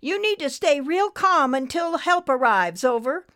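A woman voicing a motherly, folksy nurse talking briskly over a trucker radio in an audiobook story. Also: high-pitched, big pitch swings, a bit bright, no echo, clean studio sound, voice pushed up, heavily acted. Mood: brisk concern.

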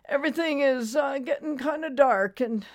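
Female narrator as older male trucker, ailing, gentle, folksy, plain-spoken, storybook character. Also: sits high, moderate pace, voice pushed up, natural voice. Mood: fading, resigned. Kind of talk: audiobook storytelling.

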